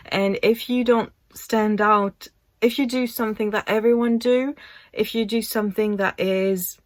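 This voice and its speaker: A French woman in her thirties speaks English slowly and reflectively, her accent clear, her pitch in a middle range. She often trails off and restarts a thought. The sound is dry and close, with no room around her. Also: dry recording. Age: 30s. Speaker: vlogger. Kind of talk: casual talk to camera.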